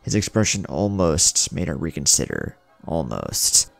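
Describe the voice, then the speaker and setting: Deep voiced guy narrating a dramatic story, really expressive, bit of music under it. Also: measured pace, creaky, no room sound. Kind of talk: scripted dramatic fan-fiction story narration.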